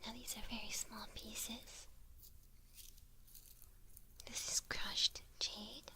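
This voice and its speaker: A woman playing a teasing witch who mentors an apprentice in a whispered ASMR roleplay, halting and gently patronizing. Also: a little high, little pitch movement. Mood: hushed, instructive. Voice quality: breathy whisper.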